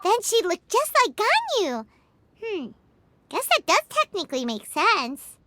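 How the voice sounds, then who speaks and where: A woman's voice: extremely high pitch, wildly swooping pitch, unhurried, bright, forceful tone, no room sound, heavily acted. A woman voicing a tiny, chirpy, childlike fairy companion in a video game, eager, perky and curious. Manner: refers to self in third person, perky. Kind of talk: video game character dialogue. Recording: music playing behind the voice.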